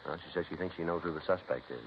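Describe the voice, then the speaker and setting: An American man playing a terse, matter-of-fact police detective in a 1950s radio drama, with a clipped, deadpan, businesslike delivery. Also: deep voice, rapid-fire. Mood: dry, businesslike. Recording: noisy, muffled 1950s radio recording.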